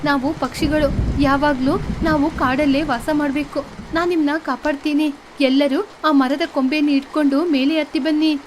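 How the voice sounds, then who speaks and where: A woman's voice: high-pitched, steady tone, normal speed, close to an everyday voice. A woman voicing earnest, emotional female birds in a children's cartoon story. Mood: compassionate. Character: kind, forgiving female sparrow and her pleading bird neighbours. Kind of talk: children's animated bedtime story. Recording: clear background noise, ambient sound.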